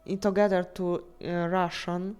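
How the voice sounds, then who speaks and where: A woman streamer chatting casually with her viewers, speaking halting non-native English with frequent restarts while she searches for words. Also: lowish voice, little pitch movement, a little mellow, clean tone, soft background music. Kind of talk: casual live-stream chat.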